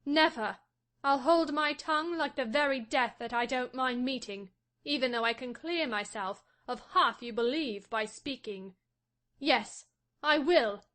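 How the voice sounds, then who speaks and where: A man reading an audiobook voices a proud, defiant young wife, speaking softly and scornfully. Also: extremely high pitch, a little slow, pitched above the performer's own voice, heavily acted. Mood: bitter. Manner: soft, hushed and scornful. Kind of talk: audiobook reading of a classic novel.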